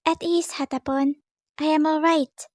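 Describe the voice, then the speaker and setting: A woman's voice: high-pitched, fairly even intonation, a little slow, darkish tone, no room sound, studio quality. A woman voicing a proud, resolute, long-lived priestess in a cartoon comic dub, with formal and stately speech. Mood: composed, resolute. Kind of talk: animated comic dub acting.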